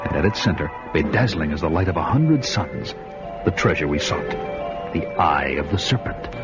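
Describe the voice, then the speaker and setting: A man's voice: extremely low pitch, lively intonation, normal speed. An actor playing a bold barbarian hero, narrating his adventure in grandiose, dramatic radio style. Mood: ominous, awed. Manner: grandiose, storytelling. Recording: dull, band-limited radio recording, music behind.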